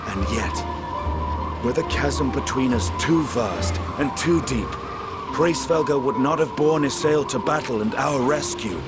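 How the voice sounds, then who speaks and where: An actor playing a bitter, battle-hardened male warrior, speaking in a measured, grave way. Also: medium pitch, lightly acted. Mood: earnest. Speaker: dragoon warrior character. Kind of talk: video game cutscene acting. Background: loud orchestral music.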